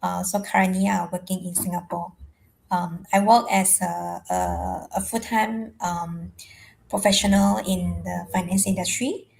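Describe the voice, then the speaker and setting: A woman's voice: fairly low pitch, fairly even intonation, measured pace, vocal fry, darkish tone, rough recording. A woman introduces herself as co-host of an online session, hesitant with frequent ums. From Malaysia.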